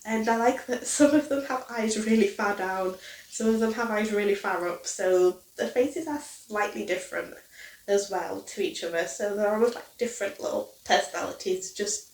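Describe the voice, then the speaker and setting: A woman's voice: medium pitch, normal speed, darkish tone, far from the mic. A young woman in her twenties with a nasal, blocked-nose voice, chatting casually to camera with lots of ums. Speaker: crochet vlogger. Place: living room, indoors. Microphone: camera mic from a few feet away. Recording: roomy, from off mic.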